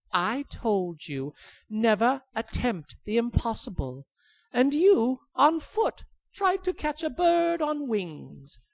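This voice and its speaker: A small, clever golden bird from a folktale, pitched far above the teller's usual voice and sounding shrill and taunting, as a woman puts it on. The lines swoop widely and come slowly, in a close, dry sound. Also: creaky, mellow, no echo, exaggerated voice.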